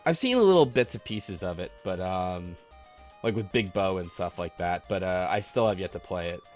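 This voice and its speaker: A man's voice: deep voice, lively intonation, normal speed. Man, livestream host, casual gamer chat, rambling, self-deprecating, retro game music behind. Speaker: host of a gaming livestream podcast taking callers. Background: retro video game music.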